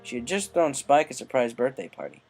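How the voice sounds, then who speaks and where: A man's voice: medium pitch, very animated, fairly quick, darkish tone, soft background music. A man reading a dramatic fan-fiction story aloud as its scripted narrator.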